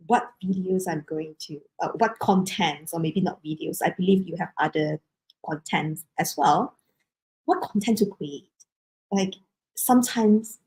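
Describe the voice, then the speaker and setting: A woman with a medium-pitched voice hosts a casual interview. She talks in a wordy, hesitant way, circling toward her point. Her sentences are long and loosely built, full of conversational filler. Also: dark tone, low-quality recording. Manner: hesitant, wordy, peppered with "like, you know". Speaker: interview host.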